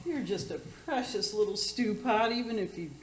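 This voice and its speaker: A woman's voice: mid-range voice, expressive, normal speed, natural voice. Woman playing a tipsy brash auntie, swooping echoey voice. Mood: boozy, gushing. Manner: rambling, gushing, boozy word slips. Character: tipsy, brash, bawdy auntie hosting a cooking radio show. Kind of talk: community theater radio comedy acting. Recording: roomy, echoing space.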